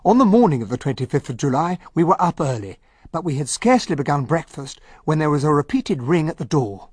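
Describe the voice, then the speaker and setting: An actor playing an earnest, loyal, well-bred English gentleman who narrates and talks as a detective's sidekick in a radio drama. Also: fairly high pitch, big pitch swings, fairly quick, breathy edge, no room sound. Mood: reflective.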